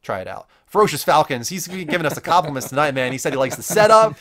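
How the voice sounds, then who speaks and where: A man's voice: a little high, animated, fast, fairly bright tone. A man chatting casually as the host of a gaming podcast.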